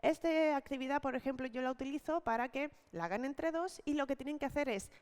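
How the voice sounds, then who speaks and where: A woman leading an online teacher-training talk, explaining step by step and often checking in with quick tag questions. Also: high-pitched, a little mellow. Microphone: video call.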